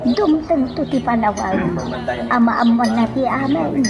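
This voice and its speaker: A troubled servant woman in a radio drama, delivers grave warnings. Her voice is notably high and she draws her words out very slowly, with music playing behind her. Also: gravelly, muffled recording. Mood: solemn, urging.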